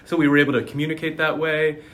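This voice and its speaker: A man's voice: a little high, little pitch movement, fairly bright tone. A man working as a dialect coach, talking articulately and enthusiastically in an interview. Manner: articulate, enthusiastic, quick bursts of speech. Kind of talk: conversational interview.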